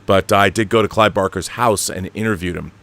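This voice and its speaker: A man's voice: sits low, fairly quick, creaky, a bit bright. A middle-aged American man hosting a casual livestream talk, opinionated and emphatic, swearing freely and wandering off on tangents. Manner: emphatic, profane, digressive. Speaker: host of a film and book talk livestream. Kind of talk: casual opinionated chat, answering viewer questions.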